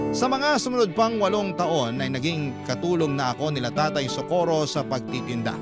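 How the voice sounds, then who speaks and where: Casting: male radio storyteller, slightly high voice, sentimental measured narration, prominent plucked guitar underneath. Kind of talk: scripted first-person dramatic narration. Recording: loud guitar music behind voice. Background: plucked guitar music.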